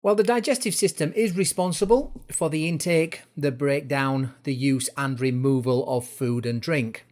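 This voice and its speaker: A man's voice: medium pitch, moderate pace, few pauses, darkish tone. A man narrating an educational explainer as an instructor, going through it step by step in informal words. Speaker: fitness instructor presenting a course.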